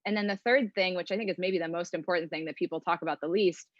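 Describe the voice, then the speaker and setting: A woman's voice: lowish voice, quick pace, no room sound, dull audio. A woman speaking as an investor on a panel, explaining her points thoughtfully in a conversational way. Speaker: venture capital investor, panel guest.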